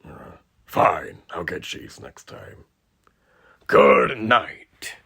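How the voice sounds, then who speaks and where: A man voicing a sinister older killer inside a haunted animatronic, rambling and theatrical with a raspy, gravelly voice, in a roleplay monologue to the listener. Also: lowish voice, very animated, extremely slow, pushed and bright, a little hiss or hum, voice pushed down, full character voice. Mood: mock-friendly menace. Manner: rambling, theatrical, mock-friendly.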